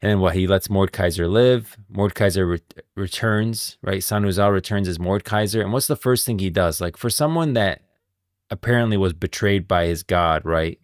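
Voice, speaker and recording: Deep-voiced enthusiastic male streamer. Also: moderate pace, dry recording, clean studio sound. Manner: enthusiastic, emphatic, slangy. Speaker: live streamer, lore commentator. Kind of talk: casual livestream game lore commentary. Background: music.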